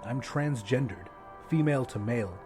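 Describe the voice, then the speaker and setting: A man voicing a gruff, laid-back, sardonic male smoker in an audiobook, with blunt casual talk. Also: animated, normal speed, music in the background, lightly acted. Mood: casual, proud. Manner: low-key, blunt, casual.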